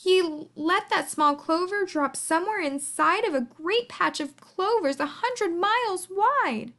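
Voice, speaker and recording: A woman reading a rhyming children's story aloud in a theatrical, deliberate, expressive manner. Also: extremely high pitch. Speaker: storybook reader.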